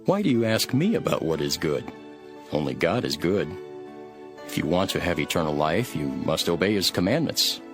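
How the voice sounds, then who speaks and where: A man playing a calm, authoritative religious teacher in a dramatized scripture, solemn and measured. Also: low voice. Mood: firm, instructive. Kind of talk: dramatized scripture reading with storytelling. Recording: background music under the voice.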